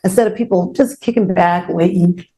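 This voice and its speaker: A woman's voice: fairly low pitch, normal speed, dark tone. An outspoken woman hosting an unscripted panel discussion, speaking emphatically and repeating her key phrases. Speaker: outspoken host of an online forum panel. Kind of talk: unscripted panel talk, personal testimony.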